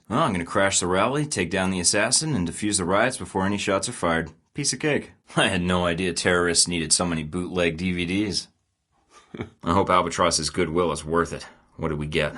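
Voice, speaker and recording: A man's voice: sits low, bright, forceful tone. An actor playing a confident, sarcastic male secret agent in a video game, delivering dry quips. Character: wisecracking male American spy, confident and sarcastic.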